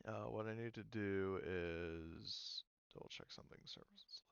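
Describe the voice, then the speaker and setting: A man's voice: lowish voice, a little slow, gravelly, clean tone, no echo, dull audio. A man acting as game master, explaining rules and thinking aloud, with many fillers as he checks things. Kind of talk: running a tabletop game online.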